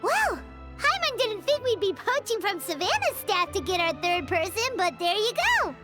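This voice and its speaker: A tiny fairy sidekick from an anime game with an extremely high, squeaky voice. She is chirpy and excitable, swinging up and down over prominent background music. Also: normal speed. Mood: chipper, reassuring. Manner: squeaky, speaks of self in third person. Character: tiny childlike female fairy companion, chirpy, excitable, food-loving.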